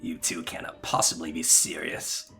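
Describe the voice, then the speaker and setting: A man voicing a dry, exasperated skeptic from a video game, grumbling in a deadpan way. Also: extremely low pitch, moderate pace, creaky, faint music behind, voice pushed down, exaggerated voice.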